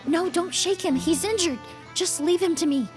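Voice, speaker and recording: A woman's voice: extremely high pitch, moderate pace, music in the background. An actress playing a courteous, composed young woman in a game, speaking precisely. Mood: urgent. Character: poised, courteous young woman, calm and observant.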